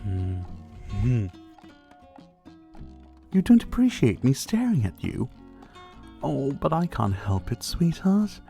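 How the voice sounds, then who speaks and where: A possessive yandere dictator, acted by a man, speaks close and intimate in an ASMR roleplay. His mellow voice swoops playfully and settles into a gravelly fry. He is doting and menacing at once, condescending and flirtatious. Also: medium pitch, big pitch swings, slow pace, long pauses, soft background music, heavily acted. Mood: smug, flirtatious. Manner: silky, condescending, flirtatious, doting with pet names. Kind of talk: ASMR roleplay, intimate dramatic acting addressed to the listener.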